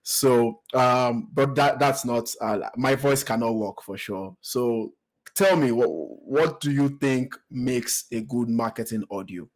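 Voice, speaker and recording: A man from Nigeria with a Nigerian accent, hosting a podcast interview in a relaxed, hesitant way with many fillers and repeated words. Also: medium pitch, slow pace. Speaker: podcast host. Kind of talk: conversational interview.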